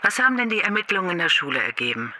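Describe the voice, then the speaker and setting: An actor playing a matter-of-fact, dismissive police superior in a radio drama. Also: male voice, high voice, very animated, racing, pushed and bright, slight background noise, full character voice.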